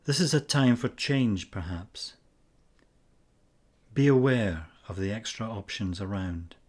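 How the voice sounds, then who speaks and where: A man narrating a scripted guided meditation in a measured, instructional way with pauses between phrases. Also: lowish voice, darkish tone.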